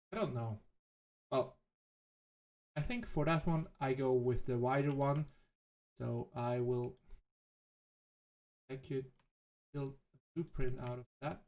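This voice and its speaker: A man whose native language is German, thinking aloud in halting, self-correcting commentary as he plays a game. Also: medium pitch. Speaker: let's play host. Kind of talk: casual gameplay commentary.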